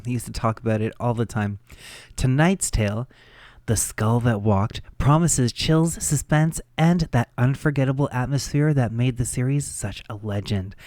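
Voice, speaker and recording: A man hosting a radio show, reading a scripted introduction to old-time programs in a measured storytelling way. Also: medium pitch, a little mellow, no echo, clean studio sound.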